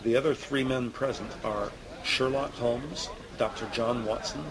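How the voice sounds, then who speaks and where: A man reading scripted audio drama narration in a deliberate, descriptive storytelling manner. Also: mid-range voice, fairly even intonation, noisy background. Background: prominent music.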